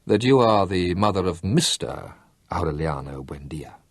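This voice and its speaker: A man's voice: low voice, expressive, normal speed, dry recording. A man playing a polite but stuck-up male officer with a languid, affected way of speaking. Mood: smug.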